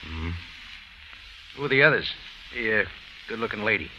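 An actor playing a tough, wry American hero who narrates and talks slang in an old-time radio detective drama. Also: male voice, lowish voice, expressive, normal speed, slight background noise, close to an everyday voice. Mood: wry, probing. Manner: hard-boiled, wry, slangy. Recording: lo-fi old radio recording with music.

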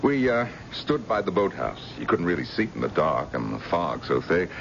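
An actor playing a selfish, pushy husband, breezy and wheedling, in a 1970s radio drama. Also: male voice, deep voice, very animated, normal speed, gravelly, exaggerated voice. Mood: gruff, suspicious. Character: pushy, selfish, blustering husband in money trouble. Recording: muffled, noisy old radio recording.

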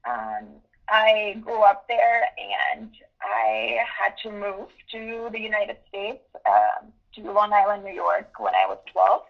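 A woman in her twenties, from Ukraine, answering interview questions with many pauses and restarts. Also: medium pitch, big pitch swings, gravelly, phone-quality sound. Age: 20s. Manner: thoughtful, with many ums and restarts. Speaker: guest journalist.

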